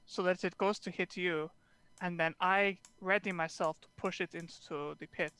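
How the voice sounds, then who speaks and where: Woman voicing a bright-toned foreign fighter, broken English, exotic accent, sincere. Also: lowish voice, fairly even intonation, moderate pace, clean tone, natural voice. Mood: earnest. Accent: made-up fantasy accent. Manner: drops articles, clipped broken English. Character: earnest foreign warrior woman, broken grammar. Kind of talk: tabletop roleplay in character.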